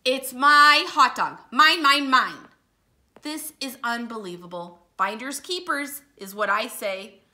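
Give the storybook a possessive, indignant pigeon, acted out by a woman. The voice is forceful and very bright, swinging widely in pitch. Every line comes out emphatic and theatrical. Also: lively intonation, slow pace, heavily acted. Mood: outraged. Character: loud, possessive, easily exasperated cartoon pigeon. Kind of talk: children's picture book read-aloud.

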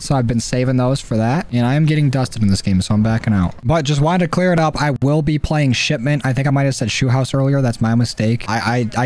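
A young man in his twenties with a hoarse voice, chatting casually and rambling, swearing now and then, as he plays a shooter on a headset at home. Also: mid-range voice, darkish tone. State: hoarse, voice not fully back. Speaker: gaming YouTuber. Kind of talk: casual gameplay commentary. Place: home gaming setup, indoors.

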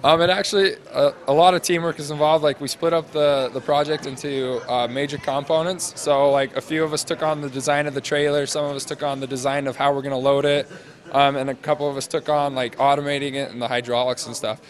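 A man in his twenties, a student interviewee, explaining a project off the cuff in casual, halting phrases into a handheld mic. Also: on the high side, steady tone. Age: 20s. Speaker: interviewee, senior engineering student presenting a project. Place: large glass-walled university hall or lobby. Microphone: handheld interview microphone held out to him.

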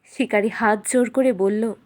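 A woman's voice: fairly high pitch, fairly even intonation, a little slow. A woman narrator reading a scripted fairy tale for children.

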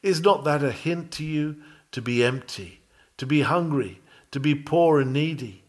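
A man's voice: a little high, unhurried. A man reading a historical sermon aloud as a preacher would, in an earnest, formal, rhetorical manner. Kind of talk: sermon read aloud, solemn measured preaching.

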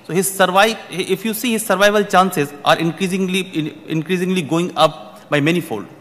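A man's voice: on the high side, a bit bright. A man in his thirties giving a prepared conference keynote from a podium, speaking formally and often repeating words as he restarts phrases. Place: large conference hall, at a podium. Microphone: podium microphone. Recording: long hall reverb.

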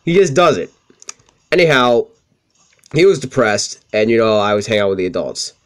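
A young man with a high-pitched voice, swearing freely as he tells rambling stories over gameplay. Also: sing-song, normal speed. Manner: profane, rambling, self-deprecating. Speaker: let's play commentator. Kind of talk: casual gameplay commentary with storytelling.

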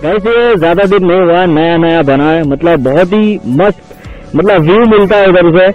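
Young male motovlogger, high-pitched and chatty, tinny phone-like audio with music under it. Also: normal speed. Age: young adult. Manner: chatty, keeps saying "guys", pleads for support. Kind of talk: casual ride commentary. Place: outdoors riding a motorcycle on country roads. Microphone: action camera on the bike.

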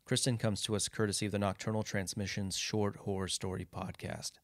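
A man's voice: lowish voice, level tone, a little fast, no room sound, studio quality. A man reading the closing credits of an audio drama podcast in a plain, informative way. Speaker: podcast announcer. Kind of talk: scripted outro announcement.

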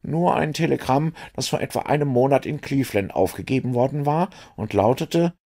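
A man putting on a higher, blunt police inspector. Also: normal speed, dark tone, natural voice. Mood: reporting.

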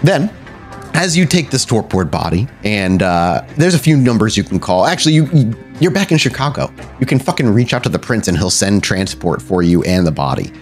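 A man's voice: on the low side, big pitch swings, brisk. A man narrating a tabletop horror game as storyteller, in a casual, conversational style. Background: faint music.

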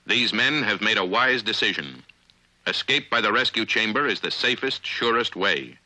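An American man narrating a scripted 1950s military training film in a measured, authoritative, instructional way, on a vintage film soundtrack. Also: on the low side, lively intonation, pushed and bright, poor audio.

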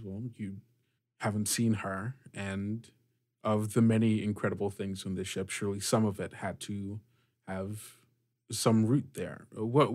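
A man narrating a tabletop game as game master, improvising in a halting way and addressing the players directly. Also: on the low side.

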